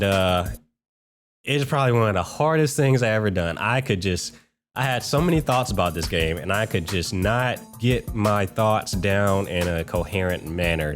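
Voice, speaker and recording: A man giving an unscripted, casual game review as host, informal and rambling with self-corrections, slightly under the weather with a cold. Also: low-pitched. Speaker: gaming video host and reviewer.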